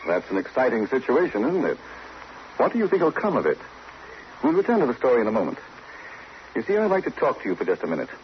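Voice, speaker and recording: Male radio host, formal, confiding, animated intonation, vintage broadcast style, muffled recording with faint noise. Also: mid-range voice, quick pace, long pauses. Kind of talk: old-time radio narration, addressing listeners.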